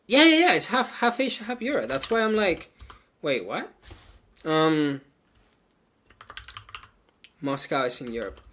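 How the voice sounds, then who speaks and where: A man from Venezuela, a native Spanish speaker, codes on a live stream and chats loosely with his viewers. His voice sits a little high and sounds bright and forward. He thinks aloud, full of "like" and "um", with keyboard typing heard behind him. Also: very animated, measured pace, pauses often, a little hiss or hum. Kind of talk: casual live coding stream, chatting with viewers.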